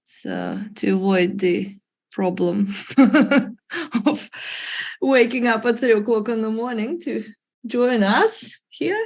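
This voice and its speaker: A woman's voice: a little high, big pitch swings, slow pace, creaky. A woman chatting on a video call, sounding sleepy and hesitant, full of fillers. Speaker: new joiner. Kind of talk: casual chat on video call.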